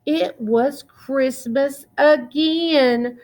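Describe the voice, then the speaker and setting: A woman reading a children's picture book aloud in a deliberate, expressive way, drawing out words. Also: high-pitched. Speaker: children's storytime reader.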